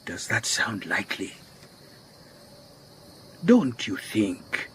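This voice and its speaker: An actor playing a worried, earnest garage owner in a radio drama, with a Southern African accent, speaking gravely and deliberately. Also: male voice, fairly low pitch, big pitch swings, a bit bright, a little hiss or hum, exaggerated voice. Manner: grave, deliberate, repeats phrases for emphasis.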